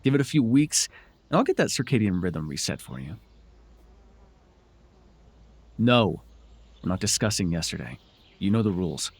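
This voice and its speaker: A man's voice: fairly low pitch, sing-song, fairly quick, vocal fry, slightly rough, no room sound, heavily acted. A man playing a smug, teasing telepath supervillain who mentors a hero, in a wry, theatrical yet conversational ASMR roleplay.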